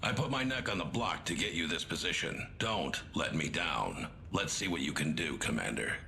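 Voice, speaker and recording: An actor playing a blunt, commanding and wary army colonel in a video game briefing. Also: male voice, very deep voice, animated, normal speed, vocal fry, pushed and bright, slight background noise, full character voice. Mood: wary, stern.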